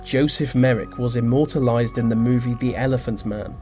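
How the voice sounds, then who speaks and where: A man reading scripted narration for a list video, slipping into dry, deadpan asides. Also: mid-range voice, fairly quick. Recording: music behind the voice. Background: background music.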